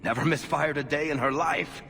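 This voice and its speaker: An actor playing a roguish dwarf adventurer in a video game, sardonic and wisecracking. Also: male voice, fairly high pitch, fairly even intonation, fairly quick, gravelly, sharp tone, in a large hall. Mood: coaxing, resolute. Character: wry, roguish male dwarf adventurer and storyteller. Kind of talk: conversational video game acting.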